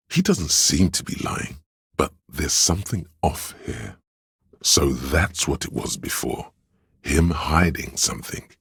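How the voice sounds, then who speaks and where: A man voicing a video game's inner voice of drama and deceit, grandiose, courtly and fawning, with a gravelly, husky delivery. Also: rumbling low, no room sound, studio quality, heavily acted. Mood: knowing, conspiratorial. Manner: grandiose, mannered, courtly, obsequious. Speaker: video game voice actor. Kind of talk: theatrical game character narration.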